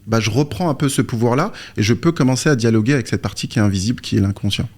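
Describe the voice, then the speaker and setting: A man talks as a guest coach in an interview, with a mid-pitched voice. He explains things informally, often asking a question and answering it himself. His delivery is conversational, like someone telling his own story. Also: racing, a little mellow. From born in Morocco, moved to France aged three or four. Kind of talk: conversational explanation with personal anecdotes.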